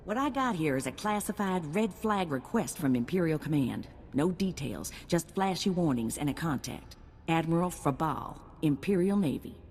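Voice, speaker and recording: Seasoned game fixer: deep voice, colloquial, dry humor, long reverb. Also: female voice, animated, moderate pace, a little mellow, slight background noise. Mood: briefing. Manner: colloquial, wry. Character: folksy, seasoned female bounty hunt fixer. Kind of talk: video game voice acting.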